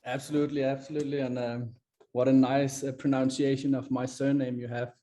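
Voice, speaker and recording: A man's voice: mid-range voice, flat delivery, fairly quick, dark tone. A man with a Danish accent introducing himself on a webinar panel, hesitant with many fillers. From Denmark. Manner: hesitant, many ums and uhs. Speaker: company founder, panelist. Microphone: video call. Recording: dull lo-fi call audio.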